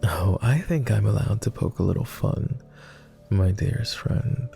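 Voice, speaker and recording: A man voicing a gentle, devoted vampire, speaking softly and formally in an intimate roleplay ASMR style. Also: fairly low pitch, measured pace, soft background music. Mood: fond teasing. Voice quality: soft, gravelly. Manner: posh, formal, tender. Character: gentle, teasing male vampire, devoted and wistful.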